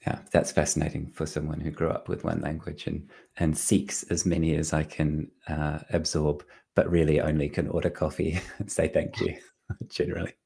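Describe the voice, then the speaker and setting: A New Zealand man hosts in a very low voice full of creak and husk. His interviewing is conversational and reflective, and he feels his way into each question. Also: monotone, normal speed, warm tone. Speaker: podcast host.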